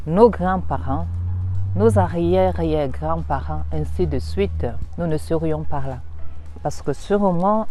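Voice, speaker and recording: A woman's voice: deep voice, warm tone. A woman giving a reflective spiritual talk as a teacher, musing aloud and returning again and again to the same rhetorical questions.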